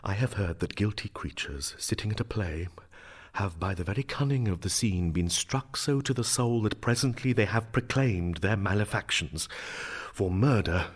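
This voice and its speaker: A man playing a brooding, grieving young prince in a Shakespearean drama, with a theatrical, emphatic delivery that moves between hushed and declaiming. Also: low-pitched, moderate pace, breathy edge. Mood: calculating.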